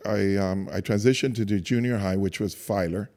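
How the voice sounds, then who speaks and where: A man in his fifties from Cuba, a church staff guest telling his story in a casual interview, soft-spoken and halting with many restarts. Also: fairly low pitch, fairly even intonation.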